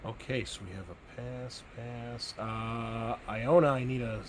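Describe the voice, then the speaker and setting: A man's voice: mid-range voice, unhurried, background noise. Laid-back man running a tabletop game. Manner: relaxed, explaining with many fillers and restarts. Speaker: game master.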